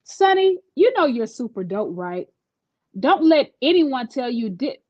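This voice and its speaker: A woman's voice: high-pitched, big pitch swings, measured pace, darkish tone, no room sound, muffled recording, full character voice. A woman voicing a caring, confident schoolgirl best friend in a storybook, reassuring and a bit sassy. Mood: encouraging.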